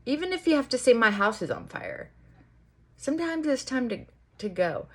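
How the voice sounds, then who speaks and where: A woman vlogger talking in casual, rambling chit-chat, reflective and halting, often trailing off and circling back. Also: high voice, sing-song.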